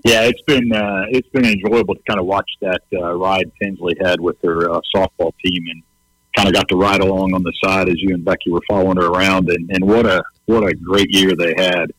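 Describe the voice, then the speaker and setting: A man's voice: low-pitched, fairly even intonation, brisk, fairly bright tone, no room sound, low-quality recording. A man co-hosting a casual radio show, easygoing and teasing. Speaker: radio co-host.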